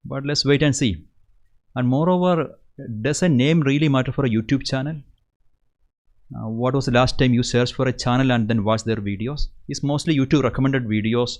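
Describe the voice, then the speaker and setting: A man's voice: mid-range voice, a little mellow. A young man from Kerala with a Malayali Indian English accent, hosting a casual livestream and answering viewer questions in an earnest way, often repeating words as he restarts a sentence. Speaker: host of an engineering channel.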